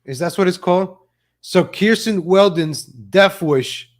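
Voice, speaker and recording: A man with a fairly high voice hosts a live commentary stream. He talks to his viewers in a mocking, sarcastic way and often repeats phrases to drive a point home. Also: a little slow. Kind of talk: live political gossip commentary to chat viewers.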